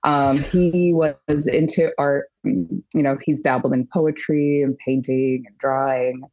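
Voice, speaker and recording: A woman's voice: rumbling low, slow pace, a little mellow, no echo, rough recording. A woman talking casually as an interview guest, chatty, with many fillers and restarts. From Japan. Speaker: guest artist. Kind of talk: casual conversation.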